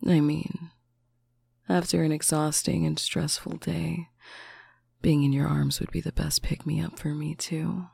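A speaker in a slow, intimate roleplay, talking softly to a faraway partner on a bedtime call, often dropping into a whisper. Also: mid-range voice, flat delivery, gravelly, breathy edge, no echo, studio quality. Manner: soft, affectionate, often whispered. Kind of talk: intimate roleplay monologue to the listener.

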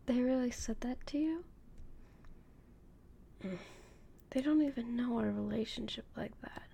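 A young woman whispering slowly and intimately as a doting, possessive girlfriend in an audio roleplay. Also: on the high side, vocal fry, husky, heavily acted. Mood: soothing. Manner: soft whispering, slow and intimate.

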